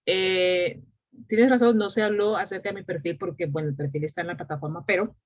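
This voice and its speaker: A low-voiced woman from San Andrés whose first language is Creole English, speaking Spanish with a soft island accent over a video call. She teaches an online English class at a measured pace with many fillers. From San Andrés, Colombia.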